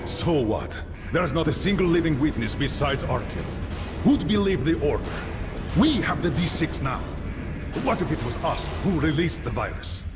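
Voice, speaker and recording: A man's voice: on the high side, big pitch swings, moderate pace. An actor playing a skeptical male military colonel in a dramatic video game, curt, commanding and combative. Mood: argumentative. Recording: long reverb, music underneath. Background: music.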